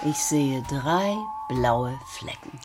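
Protective, exasperated mother, very animated intonation, children's radio drama. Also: rumbling low, takes it slowly, over loud music, full character voice.

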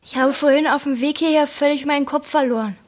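A woman's voice: high voice, steady tone, fast, lightly acted. An actress playing an easily appalled woman in conversational scenes of a literary radio play.

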